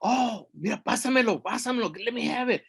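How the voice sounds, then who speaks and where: A man's voice: high voice, sing-song, moderate pace, slightly rough, voice pushed up, exaggerated voice. A man voicing eager, helpful little brother ants in a folktale, chatting colloquially and mixing Spanish phrases into English. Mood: eager, encouraging. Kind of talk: animated oral folktale storytelling.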